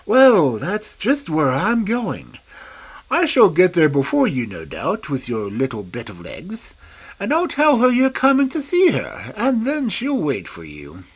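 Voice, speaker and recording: A woman's voice: on the low side, huge pitch swings, unhurried, slightly rough, voice pushed up, full character voice. A woman voicing a sly, scheming male wolf who talks in a coaxing, falsely sweet way.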